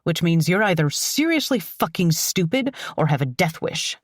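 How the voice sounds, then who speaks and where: A woman's voice: fairly low pitch, very animated, moderate pace, dry recording, studio quality. A woman voicing a fierce, defiant young woman who snarls and curses at her captor.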